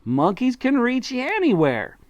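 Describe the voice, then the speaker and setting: A reader putting on a frenzied monkey father, barking orders high and loud with wild swings of pitch in a close, dry sound. He sounds urgent and bossy, hurrying everyone along. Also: wildly swooping pitch, a little slow, no echo, lo-fi sound. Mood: frantic. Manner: shouting, urgent commands.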